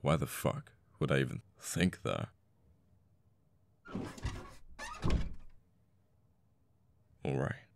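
A man playing a cocky assassin boyfriend in an intimate ASMR audio roleplay, murmuring and sweary, with sighs and pauses. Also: rumbling low, very animated, gravelly, background noise, exaggerated voice. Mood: musing. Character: cocky young male assassin, flippant, menacing, secretly a devoted boyfriend.

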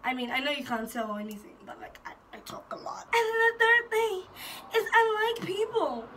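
Bubbly young woman, high bright voice. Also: moderate pace, a little hiss or hum. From Ghana. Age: young adult. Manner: bubbly, giggly, animated, gesturing. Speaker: YouTube vlogger. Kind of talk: casual chatty intro vlog. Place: small bedroom, indoors.

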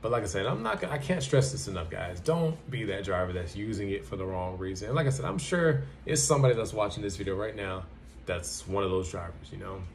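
A man's voice: sits low, gravelly, slight background noise. A young man talking casually and bluntly to camera, giving advice in a repetitive, conversational way. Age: young adult. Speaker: trucker giving advice in a vlog. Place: small plain indoor room.

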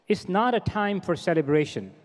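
A middle-aged man gives a formal welcome speech from a podium, earnest and exhorting, often repeating his phrases. His voice sits high for a man. Podium microphones catch him, and a long reverb trails after each phrase in the large hall. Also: animated, normal speed. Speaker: organization president giving a welcome address. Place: large convention hall stage.

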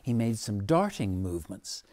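A man in his sixties reading a children's story aloud expressively, in a measured, dramatic manner. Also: on the low side, very animated, mellow, no room sound, studio quality. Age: 60s. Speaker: storybook reader. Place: living room at home, on a sofa.

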